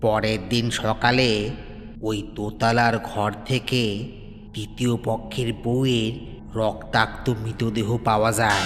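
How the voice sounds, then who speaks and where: A man voicing a tea stall keeper who calmly recounts a local tale in a matter-of-fact way. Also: mid-range voice, big-room sound, close to an everyday voice. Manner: calm, matter-of-fact storytelling. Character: middle-aged tea stall man telling a local story.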